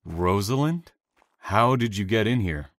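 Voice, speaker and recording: Man acting reserved train operator, very deep voice, measured, courteous, quietly intense, veiled menace, audio drama. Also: expressive. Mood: irritated.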